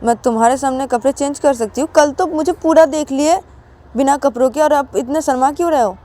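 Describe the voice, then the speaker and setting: A woman's voice: mid-range voice, moderate pace, a little mellow, close to an everyday voice. A woman voicing a bossy, unembarrassed young woman in a told story, with an insistent, chiding and teasing delivery.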